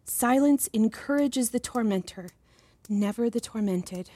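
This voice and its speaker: A woman's voice: fairly high pitch, unhurried, mellow. An actress playing a modest, diligent young female research assistant, earnest and politely deferential, in a fiction podcast.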